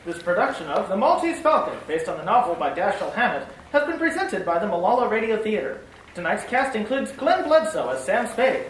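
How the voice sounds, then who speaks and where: A man's voice: high voice, sing-song, fairly quick. A man announcing the closing credits of an old-time radio play in a formal way, tripping over a name once. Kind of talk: reading credits. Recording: distant echoey mic with loud noise.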